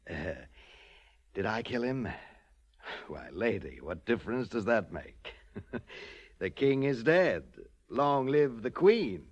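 A man's voice: medium pitch, big pitch swings, slow, pauses often, muffled recording. An actor playing an ingratiating underworld henchman in a 1940s radio crime drama, hesitant and insinuating. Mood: sly. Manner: hesitant, insinuating, sly chuckles. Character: oily, ingratiating male underworld henchman seeking favour.

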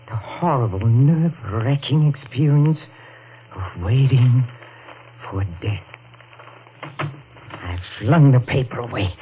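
An actor playing a lovelorn, anguished man driven to panic and crime, halting and dramatic in the manner of a 1940s radio thriller. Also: medium pitch. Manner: halting, dramatic, repeats words in agitation. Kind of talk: 1940s radio thriller acting and first-person narration. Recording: noisy, narrow-band old radio recording.

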